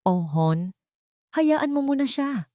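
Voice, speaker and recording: A woman's voice: high voice, big pitch swings, slow, dark tone, dry recording, clean studio sound, pitched above the performer's own voice, exaggerated voice. A woman voicing a cruel, scheming young daughter-in-law in an audio drama, by turns threatening and sugary. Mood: falsely sweet. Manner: menacing threats, sugary fake tenderness.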